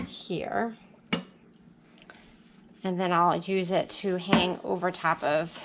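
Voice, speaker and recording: A woman with a mid-pitched voice talks through a homemade recipe step by step. Her delivery is informal and casual, like a homesteader showing viewers her routine. She is recorded in a home kitchen on a nearby camera, with clear background noise and clicks. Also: a bit bright. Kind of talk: casual how-to narration while cooking.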